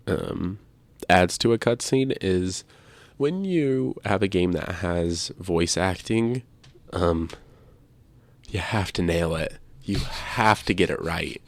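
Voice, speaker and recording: A man's voice: deep voice, lively intonation, slow pace, no echo. A man co-hosting a casual discussion, making his points with some emphasis and repetition. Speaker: podcast co-host. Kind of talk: casual discussion of video games.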